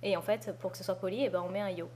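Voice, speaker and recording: A woman's voice: medium pitch, fairly even intonation, rapid-fire. A woman co-hosting a Korean lesson, explaining things casually. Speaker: co-host of a Korean language lesson. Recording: clear background noise.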